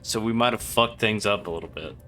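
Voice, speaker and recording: A man's voice: fairly low pitch, a little fast, noisy background. A man streaming a video game with casual, joking, slangy commentary.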